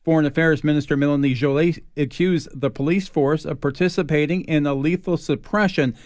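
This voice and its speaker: Male radio news anchor, slightly high voice, dry studio sound, scripted bulletin delivery. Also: fairly even intonation, fairly quick, darkish tone, no echo.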